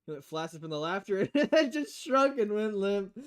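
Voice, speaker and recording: Woman reading as blunt, teasing young bartender, animated. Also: mid-range voice, moderate pace, natural voice. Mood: amused. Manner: casual, blunt, informal.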